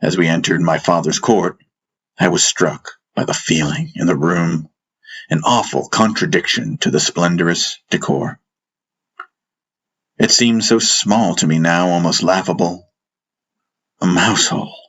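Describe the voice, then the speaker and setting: A man narrating a fantasy audiobook as dramatic first-person storytelling, in a solemn, measured manner. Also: low voice, fairly bright tone, slightly rough.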